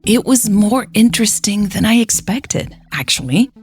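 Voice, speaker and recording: A woman's voice: medium pitch, expressive, moderate pace, darkish tone, faint music behind, pitched above the performer's own voice, natural voice. A woman voicing a puzzled, earnest fan posting on an online forum, in a chatty, questioning way.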